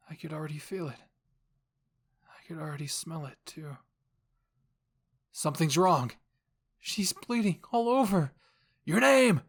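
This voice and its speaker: A man narrating a dramatic first-person fan story as a voice actor. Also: on the high side.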